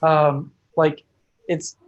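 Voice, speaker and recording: Guest, male, higher-pitched, flat delivery, measured, muffled lo-fi sound. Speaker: interview guest, brand founder. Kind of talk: casual interview.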